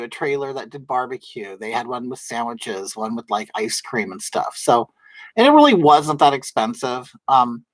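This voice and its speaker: Soft-voiced man chatting on a livestream. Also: mid-range voice, no room sound. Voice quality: soft, feminine-sounding voice. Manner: rambling, chatty, many fillers and restarts. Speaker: livestream host. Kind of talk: casual livestream chat answering viewers. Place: at home in drag, wig on.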